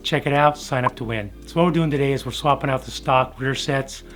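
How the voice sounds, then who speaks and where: Middle-aged American male host, slightly hoarse, casual and colloquial how-to delivery, music underneath. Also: medium pitch, fairly quick, a bit bright. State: slightly hoarse from being sick. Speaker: host of a motorcycle build series. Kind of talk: casual how-to narration to viewers. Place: home garage workshop.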